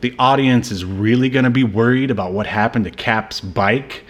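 Male podcast co-host, mid-pitched, casual and jokey film banter with a readiness to laugh. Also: normal speed. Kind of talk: casual movie review banter.

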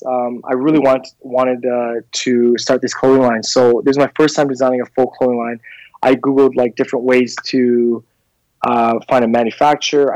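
A man's voice: mid-range voice, darkish tone, no echo, rough recording. Hesitant man in his thirties telling his story. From Canada. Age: 30s. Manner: rambling, hesitant, many fillers and restarts. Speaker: guest, clothing brand founder. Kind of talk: casual interview storytelling.

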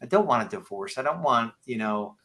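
Male podcast host, 50. Bright, forceful tone, medium pitch, earnest halting delivery, conversational interview style. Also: lively intonation.

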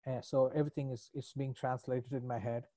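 A man's voice: medium pitch, little pitch movement, brisk, mellow, no echo. A middle-aged man with a foreign accent in English, a business coach answering podcast interview questions in an earnest, reflective, rambling way.

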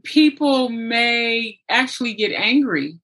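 A woman's voice: a little high, animated, slow pace, fairly bright tone. A woman hosting a conversational interview, speaking in an earnest, encouraging way. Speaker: interview host.

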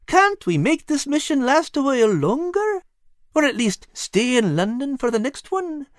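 Narrator doing a greedy high-voiced male cat. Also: sing-song, normal speed, no room sound, studio quality. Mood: pleading. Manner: folksy dialect, says lass and me. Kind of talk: storybook character voice.

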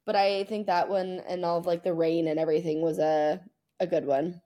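A woman co-hosting a podcast, chatting casually about sports in an informal, slangy, excitable way. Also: on the low side, a little fast. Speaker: podcast co-host.

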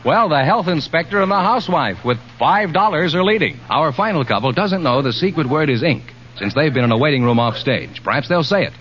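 A man's voice: a little high, animated, brisk, hardly any pauses, a bit bright, lo-fi sound, a little hiss or hum. An American man announcing scores and guests on an old-time radio quiz show. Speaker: announcer and sidekick. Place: studio stage before an audience.

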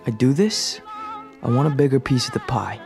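A man's voice: medium pitch, normal speed, gravelly, over music, lightly acted. An actor playing a wary, slangy male underworld associate in a period radio drama, sly in his dealings.

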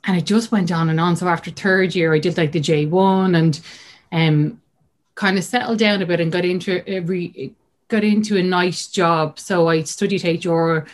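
A woman's voice: fairly low pitch, steady tone, moderate pace. A woman in her thirties with a Dublin accent, chatting casually as an interview guest and acting out remembered conversations. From Ireland. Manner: chatty, many fillers, acts out quoted dialogue. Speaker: guest coach.

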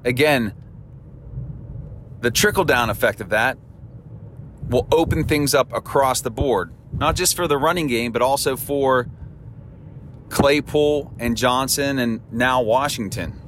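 A man hosting a solo sports podcast, talking through upcoming games in an upbeat, chatty way, his voice temporarily husky. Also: medium pitch, measured pace, pauses often, fairly bright tone, a little hiss or hum. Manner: upbeat, chatty analysis with a cheerful sign-off. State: voice temporarily husky and lower than his norm. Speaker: podcast host.

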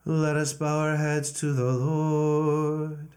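A man, a priest, intoning prayers and a slow, repeated liturgical chant. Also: a little high, completely flat delivery, darkish tone.

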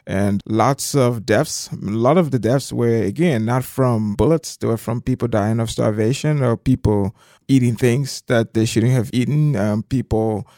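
A man's voice: mid-range voice, measured pace, warm tone, no echo, studio quality. A middle-aged man from Liberia, explaining things conversationally as an interview guest. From southeastern Liberia.

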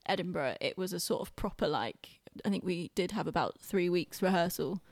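A woman's voice: on the low side, normal speed, no room sound. A young woman hosting a casual arts chat, speaking informally with self-deprecating hedges, close to the microphone. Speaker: podcast host, theatre director.

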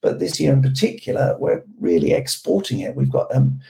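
A man with a hoarse, croaky voice from a cold, talking through a podcast discussion with lots of restarts and rhetorical questions. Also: mid-range voice, expressive, a little fast, warm tone. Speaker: road safety campaigner guest.

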